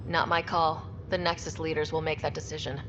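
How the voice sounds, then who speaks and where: A woman's voice: low voice, little pitch movement, a little fast, noisy background, close to an everyday voice. A woman playing an earnest, direct young space expedition leader in a video game. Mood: stern. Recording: muffled, long reverb, music behind.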